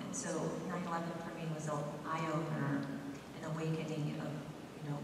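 A soft-spoken middle-aged woman with a low voice tells her story earnestly at a panel. She is heard through a desk microphone in a large, long-reverberating hall, from some distance. A clear hum of room noise sits underneath. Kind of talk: personal testimony on a panel. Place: large sanctuary hall with audience. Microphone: desk microphone on stand. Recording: echoey hall picked up from far off.

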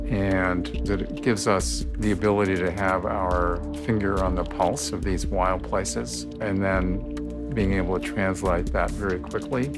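An older man speaking emphatically and reflectively in a documentary interview. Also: low-pitched. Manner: emphatic, reflective, building to strong points.

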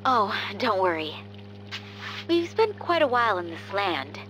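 An earnest young noblewoman warrior from a video game. She is formal and gracious, with lively intonation over faint music. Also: mid-range voice, unhurried, low-quality recording. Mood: earnest, polite.

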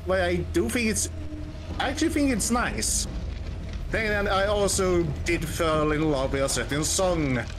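A man's voice: sits high, normal speed, noisy background. A man chatting casually on a game stream, hesitant and full of restarts. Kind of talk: casual chat. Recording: game music behind.

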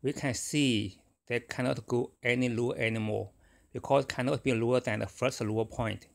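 A middle-aged man whose first language is Chinese, talking casually and haltingly about his trading, with many fillers and restarts. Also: medium pitch, fairly even intonation, vocal fry. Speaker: forex trader. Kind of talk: casual screen-recorded trading talk.

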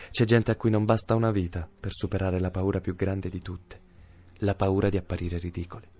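A man voicing a low, reproachful inner courage. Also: darkish tone. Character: a young man's own courage, reproachful, intimate second-person letter writer. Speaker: narrator reading letters. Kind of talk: radio drama monologue reading.

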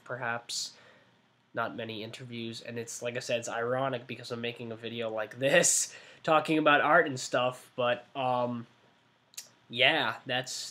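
A man's voice: mid-range voice, pushed and bright. Young man, nineteen, casual webcam vlog, rambling, lots of fillers and restarts, small room. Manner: rambling, self-correcting, many fillers. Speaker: art vlogger. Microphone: webcam at desk.

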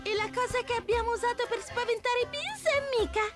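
A woman voicing a tiny, excitable young forest animal with a squeaky voice in a children's cartoon dub. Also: fairly quick, loud music behind the voice. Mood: excited.

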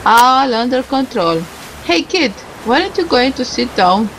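A woman's voice: sits high, very animated, a little slow, a bit bright, slight background noise, poor audio. A woman doing casual game commentary, reading a visual novel's dialogue aloud and stumbling over some words with self-corrections and asides. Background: music.

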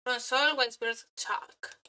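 High, bright excitable woman streamer. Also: takes it slowly, a little hiss or hum. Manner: excitable, scattered, exclamatory, sweary. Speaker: streamer reacting to game trailers. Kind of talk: casual live reaction commentary. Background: background music.

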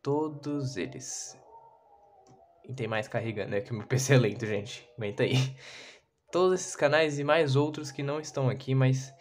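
A man's voice: medium pitch, moderate pace, slight background noise. Playful young man filming his house tour. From Brazil. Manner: playful, self-mocking, drops to mutters then shouts. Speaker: YouTuber giving a house tour. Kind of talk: casual vlog, joking to the viewers. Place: home kitchen and bedroom, indoors. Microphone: handheld phone camera. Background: lo-fi music playing.

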